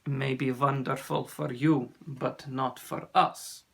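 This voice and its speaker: A man reading a children's story, voicing a proud, grave father sea serpent in a solemn, measured manner. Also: medium pitch, level tone, gravelly, fairly bright tone, breathy edge, full character voice. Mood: grave, urgent.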